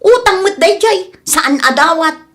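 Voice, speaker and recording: A woman acts out a feisty young woman scorned in love, in an Ilocano comedy drama. Her voice is high and swings widely in pitch. She is sarcastic and melodramatic, full of exclamations. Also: lively intonation, measured pace, fairly bright tone, exaggerated voice. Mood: indignant, scornful.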